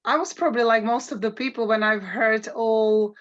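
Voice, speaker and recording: A woman's voice: a little high, steady tone, moderate pace, highs cut off. A woman from Slovakia, a guest sharing her personal story on a call, earnest and searching for words.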